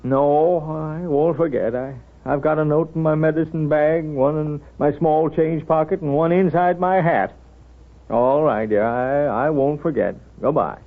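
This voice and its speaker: An American actor playing a wise, wry, middle-aged small-town doctor in 1930s radio drama style, with folksy, hesitant mumbling. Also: male voice, on the high side. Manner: folksy, hesitant, absent-minded mumbling asides. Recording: tinny, narrow-band 1930s radio recording.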